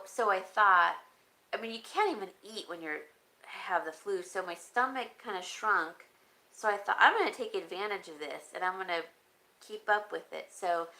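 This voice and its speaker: A woman vlogger chatting casually and rambling, answering comments, her voice raspy and broken by frequent coughs. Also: lowish voice, pushed and bright. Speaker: home decor vlogger. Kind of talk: casual live-stream chat.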